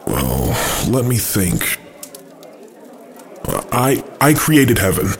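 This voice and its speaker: A man playing a brooding, cunning angel who plots rebellion, speaking in a measured, musing way in an audio drama. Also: mid-range voice, sing-song. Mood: musing, sly.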